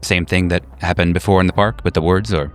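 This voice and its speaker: A man's voice: deep voice, fairly even intonation, fast, soft background music, natural voice. A man voicing a dejected, uncertain man with a hesitant, questioning manner in a tabletop horror game. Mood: anxious. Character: dejected, uncertain man anxious about a planned task.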